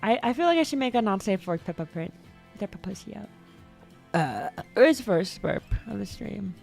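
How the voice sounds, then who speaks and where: A young woman chatting casually on a livestream. She rambles, repeats and stumbles over words, and her voice sometimes jumps suddenly into high squeaks. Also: sing-song, moderate pace, creaky, darkish tone. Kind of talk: casual stream chat. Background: faint background music.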